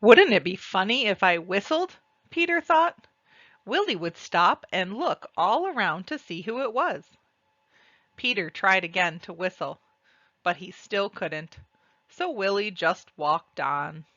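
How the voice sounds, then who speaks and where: Slow, bright-voiced woman reading a storybook. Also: mid-range voice, expressive, no echo, muffled recording. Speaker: read-aloud narrator. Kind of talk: children's storybook read-aloud with picture descriptions.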